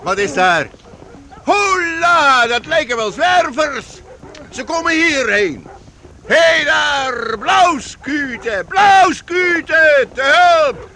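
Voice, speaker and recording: Urging, very high-voiced leader of fugitives. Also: male voice, expressive, very slow, sharp tone, slight background noise, full character voice. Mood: urgent. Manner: urging, emphatic. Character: dogged, confident master leading fugitives. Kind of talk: youth radio play acting.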